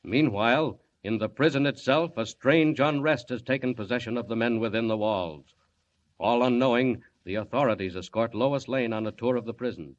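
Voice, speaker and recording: A man narrating a scripted radio serial in a dramatic, measured 1940s announcer style. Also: medium pitch. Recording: dull old radio recording.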